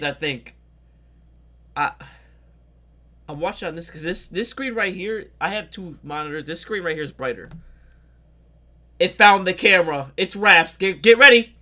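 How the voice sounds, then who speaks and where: A man hosting a casual reaction video, excitable and exclaiming at what he watches, talking straight to his viewers. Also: high-pitched, measured pace, long pauses.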